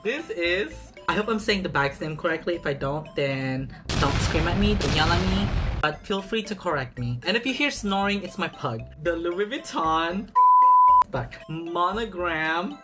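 A man's voice: high voice, lively intonation, measured pace, loud music behind the voice. A young man chatting casually while unboxing a bag in a small apartment room, sassy and dramatic, stretching words for emphasis. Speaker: vlogger.

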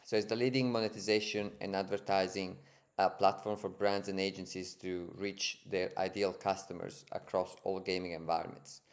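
A man's voice: sits low, flat delivery, normal speed. A man in his thirties with an Italian accent, a guest explaining his business on a video-call podcast, fluent but with many fillers and repeated words. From Italy. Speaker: guest, ad tech co-founder. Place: home room, indoors. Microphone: headset on a video call.

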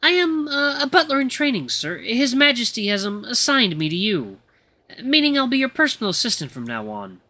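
Performer voicing a timid, high, tiny dog butler. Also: lively intonation, moderate pace, few pauses, sharp tone, heavily acted. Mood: nervous, deferential. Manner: stammering, apologetic, timid. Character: tiny, anxious young male golden retriever butler in training.

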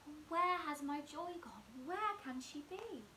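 Sad boy magpie for a storybook, played by a woman: plaintive, high, very melodic, roomy sound. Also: animated, unhurried, pushed and bright, exaggerated voice. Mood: pleading, searching. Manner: plaintive, theatrical questioning. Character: sad, lonely male magpie searching for his joy. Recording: roomy echo, clear background hiss.

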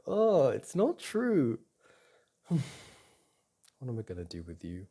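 A man playing a doting boyfriend in an intimate ASMR roleplay, speaking softly and breathily in a tender, reassuring manner. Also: medium pitch, wildly swooping pitch, slow pace, husky, heavily acted. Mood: gentle reassurance. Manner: tender, reassuring, with sighs and soft chuckles. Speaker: audio roleplay performer.

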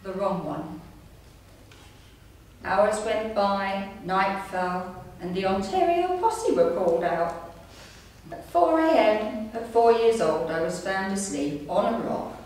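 Woman in her sixties, reading a memoir aloud, measured storyteller, heavy room echo, distant microphone. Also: mid-range voice, animated, darkish tone. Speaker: amateur writer reading her own story. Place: indoor room at a community centre, seated. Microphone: distant camera microphone. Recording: echoey room, distant mic, faint noise.

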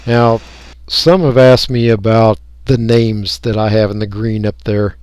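A man's voice: lowish voice, steady tone, measured pace, darkish tone, clean tone, no room sound. Folksy American man, casual tutorial. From United States. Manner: folksy, rambling, thinking aloud. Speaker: radio hobbyist giving a software tutorial. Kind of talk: casual unscripted screen-recording walkthrough.

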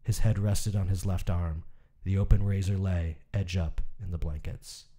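A man's voice: low voice, fairly even intonation, normal speed, dark tone. A man reading a short story aloud as literary narration for a podcast. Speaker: podcast co-host and story reader.

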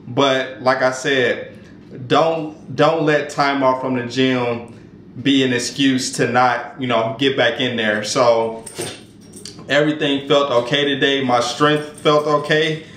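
A man in his thirties casually talking to camera about fitness from his home kitchen, relaxed and conversational with frequent fillers and restarts. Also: medium pitch, slow. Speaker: fitness vlogger. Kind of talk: casual vlog talk to camera. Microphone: camera mic at arm's length. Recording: echoey room, faint background noise.

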